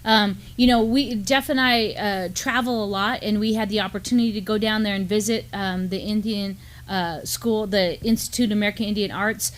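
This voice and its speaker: A woman's voice: mid-range voice, steady tone, moderate pace, few pauses. A middle-aged woman co-hosting a community news show, chatting in a casual, unscripted way. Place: indoor studio at a desk.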